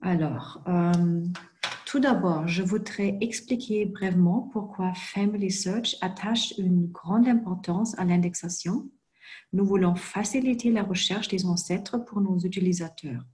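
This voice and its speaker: A woman walks through a screen-share tutorial in a fairly low voice. She speaks in a very slow, careful way and fills her pauses with frequent hesitations. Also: mellow. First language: not French. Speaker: presenter for a genealogy organization.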